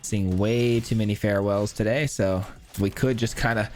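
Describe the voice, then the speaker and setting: Hoarse man narrating his card game. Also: on the low side, steady tone, normal speed, faint music behind. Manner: counts aloud and thinks through plays. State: hoarse, voice cracking. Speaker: gameplay streamer. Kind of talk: casual live gameplay commentary.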